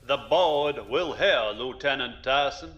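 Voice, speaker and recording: An actor playing a stern, solemn presiding judge of a military tribunal in a science fiction audio drama. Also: male voice, medium pitch, a bit bright, dull audio, a little hiss or hum. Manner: solemn, formal, deliberate.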